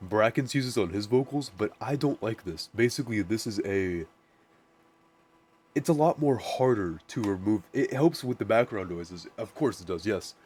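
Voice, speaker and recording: A man with a naturally deep voice, casually walking through his music production setup, rambling with lots of "like" and restarts. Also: expressive, moderate pace, darkish tone, breathy edge. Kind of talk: casual screen-share tutorial.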